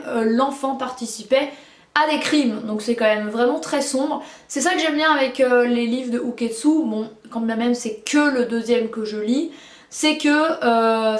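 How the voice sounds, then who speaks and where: A woman's voice: on the high side, roomy sound. A French-speaking woman reviewing books in casual, chatty talk, full of fillers and restarts. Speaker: book reviewer. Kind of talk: casual book review chat.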